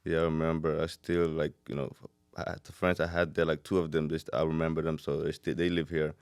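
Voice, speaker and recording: A man in his thirties from Kenya, answering interview questions in a relaxed, casual way with short replies. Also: extremely low pitch, quick pace, clean tone, dry recording. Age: 30s. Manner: relaxed, short answers with "you know" and "yeah". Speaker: podcast guest.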